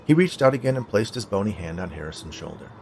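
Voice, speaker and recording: A man's voice: low voice, animated, fast, vocal fry, slight background noise. A man reading a scripted short story aloud as its narrator.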